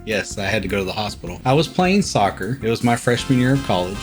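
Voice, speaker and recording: A man in his forties, a father, telling stories in an easygoing, deliberate way. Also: lowish voice, lively intonation, over music. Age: 40s. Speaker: guest, the host's father. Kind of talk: casual storytelling.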